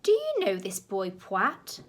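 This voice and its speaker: A woman's voice: medium pitch, huge pitch swings, takes it slowly, a bit bright, heavily acted. A woman voicing a stiff, achingly posh male zoo director whose R's come out as W's. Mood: pompous. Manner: pompous, thundering.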